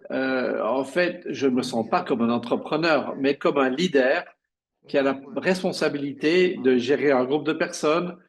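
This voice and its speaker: Male conference interpreter, halting delivery. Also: mid-range voice. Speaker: simultaneous interpreter. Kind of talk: live interpreting of conference speeches.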